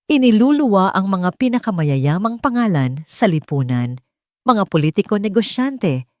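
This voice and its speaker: A woman's voice: fairly low pitch, darkish tone, dry recording, studio quality. A woman with a Filipino accent reading a dramatic story as a scripted narration. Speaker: audiobook narrator.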